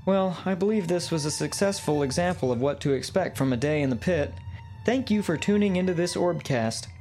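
A man's voice: a little high, animated, brisk, a little mellow, soft background music, natural voice. A man playing a polite, genteel pit boss in a comedic fantasy audio drama, with formal, theatrical phrasing. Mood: polite, presenting. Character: chatty, polite male pit boss guiding new hires.

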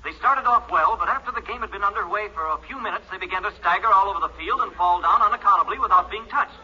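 An actor playing an earnest, excitable sports announcer in a 1940s radio comedy. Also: male voice, sits high, fast, husky, exaggerated voice. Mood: earnest reporting. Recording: muffled, slightly noisy old radio recording.

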